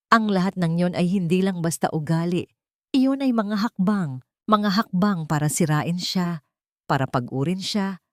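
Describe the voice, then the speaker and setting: A woman's voice: fairly low pitch, a little mellow, no echo, clean studio sound. A woman with a Filipino accent giving a dramatic scripted narration of a romance story.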